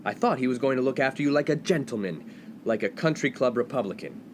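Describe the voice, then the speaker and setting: A man's voice: medium pitch, expressive, fast, lightly acted. A male actor plays a young upper-class college man of the 1950s. He is pompous and easily outraged, scolding like an offended snob. Faint noise sits behind the comic radio-play voice. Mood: indignant, scolding. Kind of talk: comedic radio play acting.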